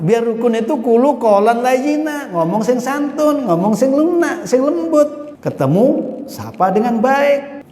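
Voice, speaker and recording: A man with a Javanese accent preaching an Islamic study sermon, explaining Arabic verses phrase by phrase in a lively, storytelling way. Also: extremely high pitch. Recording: echoey hall recording.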